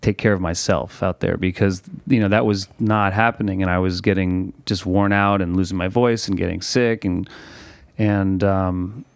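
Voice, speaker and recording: A man, a touring songwriter, talking casually on a podcast in a relaxed, rambling way. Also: low-pitched, normal speed, gravelly, no echo. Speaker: touring musician and songwriter guest.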